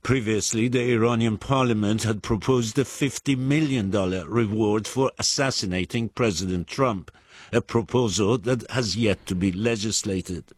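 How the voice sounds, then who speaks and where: A man correspondent delivering a measured, formal international news report. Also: fairly low pitch, breathy edge. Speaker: foreign correspondent.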